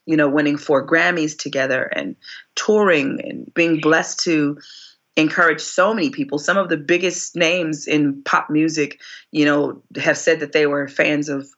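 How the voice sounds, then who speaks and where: A woman in her forties, a singer being interviewed, telling her story expressively, her voice climbing high whenever she relives a moment. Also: steady tone, normal speed, a bit bright, lo-fi sound. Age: 40s. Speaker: guest singer.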